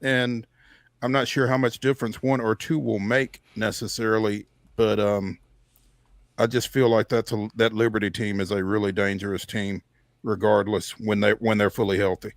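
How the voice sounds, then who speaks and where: A man co-hosting a sports radio show, talking casually and deliberately with pauses. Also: fairly low pitch, little pitch movement, vocal fry, no echo, clean studio sound.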